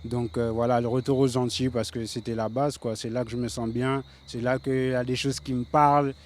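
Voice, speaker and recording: A man in his late thirties, originally from the Paris suburbs, talks about his music in a calm, monotone voice full of fillers. A faint background noise runs under the recording. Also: mid-range voice, brisk. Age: 30s. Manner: laid-back, many fillers, flat delivery. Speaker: reggae singer guest. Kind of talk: casual interview.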